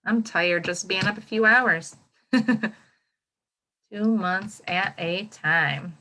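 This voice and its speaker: A woman's voice: medium pitch, slow pace, bright, forceful tone, rough recording. A woman with an American accent reading aloud to children as a teacher, exclaiming and putting questions to her young listeners. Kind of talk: children's read-aloud lesson with commentary.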